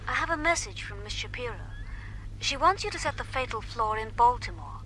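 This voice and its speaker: An actress playing a formal, unbudging office secretary in a radio drama, brisk and impersonal. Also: female voice, fairly high pitch, expressive, fairly bright tone, slight background noise, low-quality recording. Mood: brisk, officious. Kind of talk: BBC radio drama acting.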